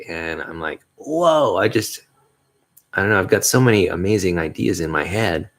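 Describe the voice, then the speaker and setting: A man hosting a solo podcast, talking in a mellow bass voice, upbeat and rambling, with frequent chuckles. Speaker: podcast host. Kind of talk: casual solo podcast monologue.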